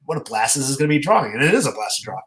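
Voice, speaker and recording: A man's voice: medium pitch, sing-song, rapid-fire, fairly bright tone. A man chatting casually as an interviewed artist, telling rambling stories and acting out remembered dialogue. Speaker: comic book artist being interviewed. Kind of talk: casual chat.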